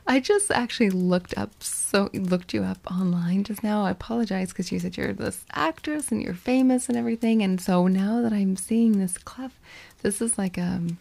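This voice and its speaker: A woman co-hosting an improvised comedy podcast, often speaking in a soft, whispery and polite way. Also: mid-range voice, moderate pace, gravelly. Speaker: podcast co-host.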